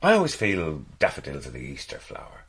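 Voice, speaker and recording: An actor playing a gentle parish priest in a radio drama, measured and wistful with dry humour. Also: male voice, rumbling low, huge pitch swings, a little hiss or hum, exaggerated voice. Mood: wistful, wry.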